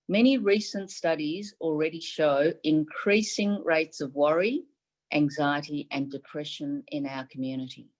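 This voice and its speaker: A woman's voice: low voice, darkish tone. A middle-aged woman born in Taiwan whose first language is Mandarin, giving a formal speech as a medical advisor over a video call from a room at home. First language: Mandarin Chinese. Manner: measured, formal delivery in short phrases. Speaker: chief medical advisor giving a symposium address. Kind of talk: prepared speech. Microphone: video call webcam.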